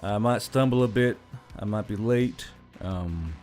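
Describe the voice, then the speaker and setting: A man's voice: lowish voice, a little slow, darkish tone. A man hosting a channel, talking casually and directly to his viewers. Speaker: channel host. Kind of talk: casual outro talk to viewers. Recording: music bed under the voice.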